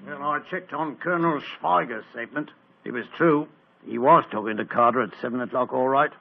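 Guy doing gruff police inspector, gravelly, skeptical, dull old radio. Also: medium pitch, fairly quick, a little hiss or hum, close to an everyday voice. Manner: skeptical, blunt. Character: Inspector Lestrade, gruff plain-spoken policeman. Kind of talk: 1940s radio acting.

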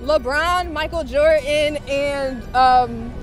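Woman slowly spelling on the street, high voice, hesitant. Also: music in the background. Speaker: trivia contestant.

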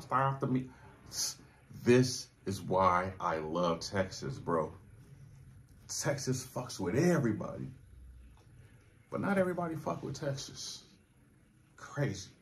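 A man's voice: mid-range voice, fairly bright tone. A man in his thirties with a Californian accent, giving casual reaction commentary in a slangy, profane way. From Long Beach, California. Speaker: reaction video host. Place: small room with foam-panelled walls.

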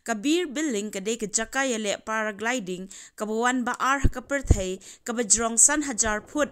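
A woman reading a scripted voice-over narration in an even, measured way. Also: a little high, smooth.

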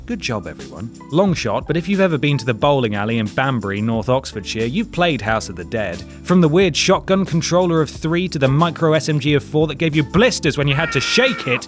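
A man's voice: on the high side, very animated, fairly quick, few pauses. A man with a British accent reading a scripted countdown as host, sardonic and mock-dramatic with dry asides. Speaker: video host narrator. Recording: voice-over with music behind. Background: music.

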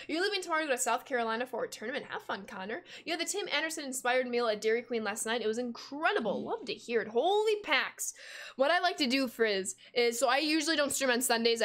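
Chatty young woman streamer, slightly high. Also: fast talker. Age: 20s. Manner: chatty, reads chat aloud, bursts of excited gasps and laughs. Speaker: gaming streamer. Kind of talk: casual live-stream chat while playing. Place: home room at a desk.

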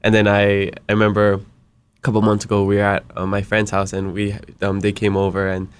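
A young man from Hawaii whose first language is Hawaiian, hosting a podcast in a relaxed, joking way in a small radio studio at a broadcast mic. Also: fairly low pitch, brisk, clean tone. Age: young adult. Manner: relaxed, joking, quiet asides. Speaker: podcast host. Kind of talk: casual interview chat. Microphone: studio broadcast mic on boom arm.

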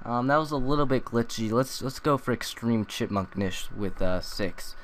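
A young man talking through a software tutorial in a loose, rambling way, full of ums and uhs. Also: mid-range voice, normal speed, a little hiss or hum. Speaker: tutorial maker. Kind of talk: casual screen-recorded tutorial.

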